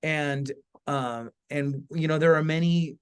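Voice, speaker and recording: A man hosting a podcast, talking conversationally in an earnest, halting way with repeated phrases. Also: on the high side, steady tone, clear, resonant tone. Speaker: podcast host.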